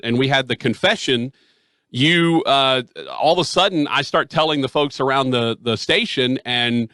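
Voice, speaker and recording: A man's voice: mid-range voice, bright, forceful tone, no room sound. A middle-aged man hosting a sports talk radio show, chatting casually in an interview, close on the mic in a small studio. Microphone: headset or studio mic, close up.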